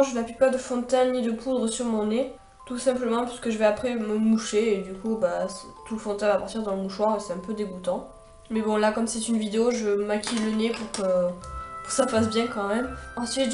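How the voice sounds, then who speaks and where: A woman's voice: on the high side, a little mellow, roomy sound. A young woman with a cold and a stuffy nose, chatting her way through a makeup tutorial with many fillers and restarts. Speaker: beauty vlogger.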